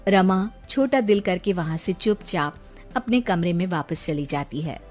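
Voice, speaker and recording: A woman's voice: on the low side, animated, a little slow, darkish tone, faint music behind. A woman reading scripted narration as the storyteller of a moral tale.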